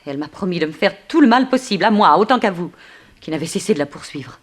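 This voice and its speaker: A seductive, low-voiced lady thief. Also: lively intonation, quick pace. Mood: earnest. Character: seductive, teasing blonde woman thief, coolly persuasive. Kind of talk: early 1960s French radio play acting.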